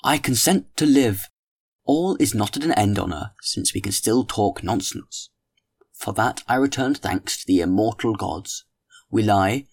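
A man's voice: on the low side, creaky, exaggerated voice. A man voicing a witty young man holding forth at dinner, speaking with a theatrical, mock-solemn grandeur.

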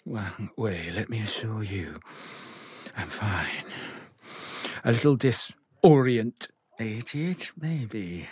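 A man's voice: fairly low pitch, lively intonation, drawn-out words, pauses often, darkish tone, husky, no echo, exaggerated voice. An actor playing a confused older man in his sickbed who cracks wry puns and drifts in and out. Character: confused old man with a cheeky wit. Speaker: elderly patient. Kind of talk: audio drama acting.

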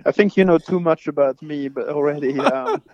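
A man's voice: fairly high pitch, a little fast, a little mellow, dry recording, muffled recording. A man with a Swiss French accent, a native French speaker, talking casually as an interview guest with many hesitant fillers. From French-speaking Switzerland. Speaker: guest forensic scientist.

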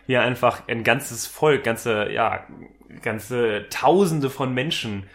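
Man, podcast co-host, mid-pitched voice, laid-back, dry humor, casual chat. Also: takes it slowly, fairly bright tone.

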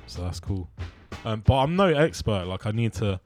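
A man in casual conversation about music, talking in a relaxed, hesitant way with lots of ums. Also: fairly low pitch, moderate pace. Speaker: musician guest. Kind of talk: casual chat.

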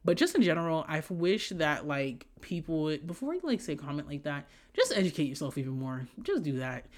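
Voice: medium pitch, big pitch swings, fairly quick. A podcast host from Haiti talking casually and candidly in an opinionated, slangy monologue peppered with swearing. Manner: candid, slangy, profane, conversational.

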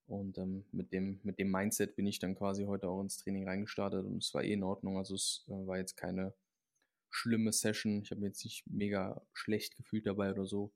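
Male athlete guest, lowish flat voice, relaxed and rambling. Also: brisk. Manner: rambling, relaxed, frequent fillers. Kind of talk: casual podcast chat.

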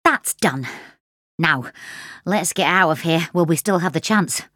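A woman's voice: deep voice, lively intonation, measured pace, fairly bright tone, dry recording, studio quality, heavily acted. A woman voicing a bold, bossy young girl in a children's storybook, with an emphatic, confident delivery. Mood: brisk. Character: Goldie, bold, bossy young fairy-tale girl ninja.

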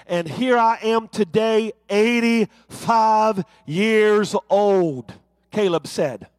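A man's voice: sits high, very slow, no echo, studio quality. A man preaching a sermon, building to fervent, rhythmic refrains.

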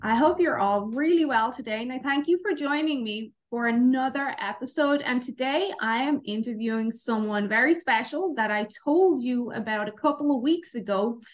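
A woman's voice: on the high side, normal speed, hardly any pauses. A woman with an Irish accent, hosting an interview podcast and introducing her guest.

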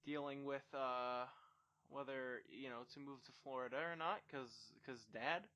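A young man in casual banter, joking and swearing, with many fillers when he slows down. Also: mid-range voice, steady tone, bright, forceful tone, clean tone, highs cut off. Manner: joking, swears often, mumbles with fillers when he turns earnest.

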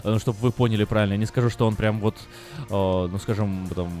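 A man's voice: on the low side, steady tone, fast talker, darkish tone. A man hosting a casual radio show, talkative and opinionated, with hesitant fillers. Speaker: radio host. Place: radio studio. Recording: faint music under the talk.

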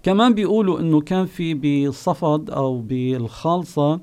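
A man with a Palestinian Bedouin accent, a guest recalling his home village, pausing often with long filler sounds. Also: on the high side, darkish tone. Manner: unhurried storyteller, pauses often with drawn-out "ah" fillers. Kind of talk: reminiscing oral history on a call-in show.

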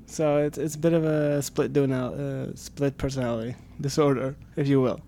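A man's voice: medium pitch, little pitch movement, moderate pace, gravelly, mellow. A man in his twenties who grew up in Sweden, answering interview questions as a camp staff guest, hesitant with many fillers. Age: 20s. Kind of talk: casual interview.

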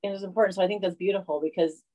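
A woman hosting a casual podcast interview, talking in an excited, rambling way. Her voice sits at an everyday middle pitch. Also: rapid-fire, poor audio. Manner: chatty, enthusiastic, rambling. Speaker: podcast host. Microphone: video call.

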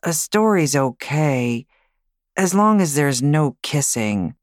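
A woman's voice: deep voice, animated, slow pace, no room sound, studio quality. A woman voicing a skeptical young boy in an audiobook, grudging and unimpressed. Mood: unenthused. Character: skeptical young boy, grandson wary of kissing stories. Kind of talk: audiobook character voice.

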